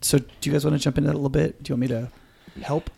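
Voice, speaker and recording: A man's voice: mid-range voice, little pitch movement, racing, creaky, dark tone, husky. A middle-aged American man hosting a podcast interview, explaining things in a conversational way.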